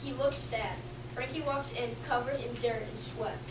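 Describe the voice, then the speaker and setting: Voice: mid-range voice, fairly even intonation, moderate pace. A young student, a girl, reading scripted narration aloud in a readers theater. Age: child or young teenager. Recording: echoey, noisy room, distant mic.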